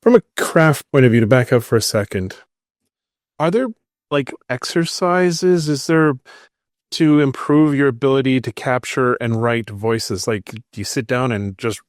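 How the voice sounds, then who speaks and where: Male podcast host, conversational interviewer, medium-pitched voice, dry recording with little room sound. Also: measured pace, dry recording.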